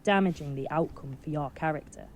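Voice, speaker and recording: A woman's voice: low voice, brisk. A woman reading scripted instructional narration for a game, heard as game audio. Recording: game audio with background noise.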